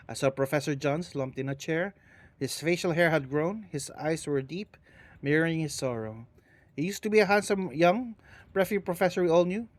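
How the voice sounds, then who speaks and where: A male streamer reading ghost stories aloud with dramatic delivery and casual asides, who tends to mumble and trip over his words, into a desk microphone. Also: on the high side.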